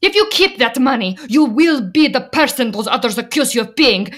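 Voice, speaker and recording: A woman's voice: sits high, expressive, normal speed, a bit bright. A stern immigrant wife in a radio drama,. She is frightened yet resolute, firm and reproachful as she insists on what is right. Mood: urgent, firm. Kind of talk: radio play acting.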